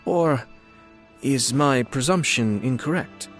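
An actor playing a composed young lord in a video game, speaking in formal, measured and polite phrases. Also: male voice, mid-range voice, a bit bright, faint music behind. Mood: composed, courteous. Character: courtly, composed young male lord and commissioner.

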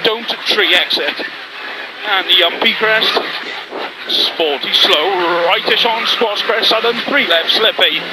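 A man reading pace notes as a rally co-driver, calling short clipped numbers and directions from inside the car. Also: high voice. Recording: loud engine noise.

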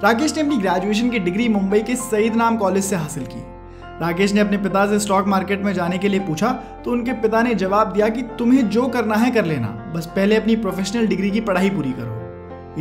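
Male narrator over prominent music. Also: sits high. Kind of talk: scripted biographical narration. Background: instrumental music.

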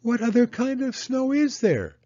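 A man's voice: very high-pitched, very animated, a little slow, dark tone, highs cut off, pitched above the performer's own voice, full character voice. A man voicing a sweet, eager, curious little girl mouse in a children's storybook, with earnest childlike questioning. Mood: curious, doubtful.

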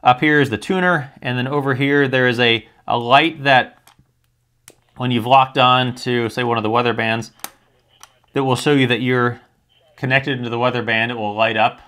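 A man with a mid-pitched voice presents a product review. He talks casually and candidly, explaining things step by step in a conversational way with dry humour. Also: fairly even intonation, moderate pace, pushed and bright.

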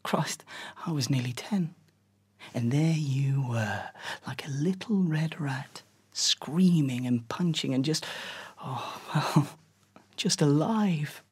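A man playing a tender older brother reminiscing in a hushed, breathy, intimate monologue. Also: on the high side, slow pace, voice pushed down, full character voice. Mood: tender, wistful. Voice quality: hushed, breathy half-whisper.

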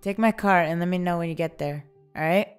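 A woman's voice: on the low side, brisk, clear, resonant tone, faint music behind, close to an everyday voice. Woman playing an easygoing college roommate. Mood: concerned. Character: supportive, easygoing college roommate and childhood best friend. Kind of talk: amateur audio drama acting.

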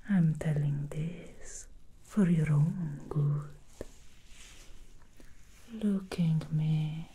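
A woman's voice: deep voice, steady tone, warm tone, slight background noise. Woman whispering as a seductive female demon stylist. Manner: slow, breathy whisper, teasing and seductive. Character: alluring female demon hairstylist from the underworld. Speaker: ASMR roleplay performer. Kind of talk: whispered ASMR roleplay. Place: dark indoor studio set.

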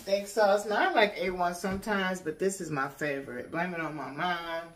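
A woman's voice: fairly low pitch, moderate pace. An American woman vlogger chatting casually to viewers in a folksy, colloquial way as she unpacks. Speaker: vlogger showing a grocery haul. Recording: echoey room, distant mic, clear background noise.

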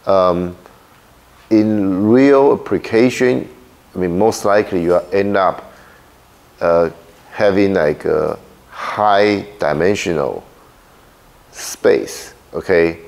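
A middle-aged man with a Taiwanese accent lectures slowly in a deep, low voice that is still a little hoarse. He speaks through a handheld microphone in a lecture hall, padding his halting phrases with fillers. He keeps checking in with the class, asking "okay?". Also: a little mellow. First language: Mandarin. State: slightly hoarse after illness. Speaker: university lecturer. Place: large lecture hall with projector.

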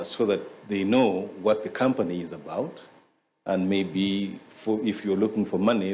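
A man moderates a conference panel. His voice is slightly low, and he phrases his comments and questions in a halting, deliberate way. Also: a little mellow, slight background noise. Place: conference stage, indoors. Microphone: gooseneck table microphone.

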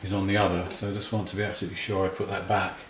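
Male hobbyist repairer, deep-pitched, matter-of-fact, talking through his work in an echoey room. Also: level tone, rapid-fire, heavy background noise. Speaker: repair hobbyist demonstrating a restoration. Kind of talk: casual hands-on tutorial commentary, thinking aloud while working. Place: indoors at a repair workbench. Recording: roomy, clear handling clatter and clicks.

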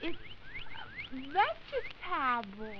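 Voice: sits high, wildly swooping pitch, extremely slow, lo-fi sound, exaggerated voice. A performer playing an eager, curious little girl who questions her daddy in a 1940s radio sketch, on a noisy old recording.

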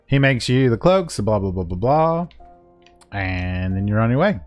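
A man's voice: fairly low pitch, sing-song, measured pace, a little mellow. A man giving a casual, jokey walkthrough over gameplay, playful and informal in the way he talks. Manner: playful, informal, voices paraphrased dialogue and silly sound words. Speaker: gaming video creator giving a quest guide. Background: music.

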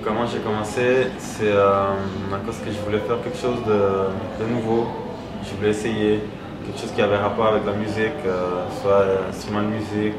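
A man's voice: fairly low pitch, flat delivery, normal speed, distant mic, very noisy. A young man, answering interview questions in a dance studio, hesitant with many fillers and restarts. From Montreal. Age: 20s. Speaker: breakdancer. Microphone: camera close to the face. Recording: echoey studio room with loud music.

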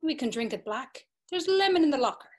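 A woman's voice: high voice, animated, brisk, voice pushed up. A woman reading the part of a guarded, brooding young man in a dramatised literary story, plain and restrained. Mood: matter-of-fact.

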